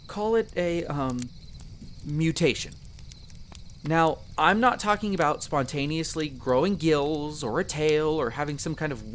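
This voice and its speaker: A man playing a friendly, earnest young elf explorer in roleplay audio, explaining things chattily with hesitant pauses. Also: on the high side, a little hiss or hum. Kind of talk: character roleplay audio addressed to the listener.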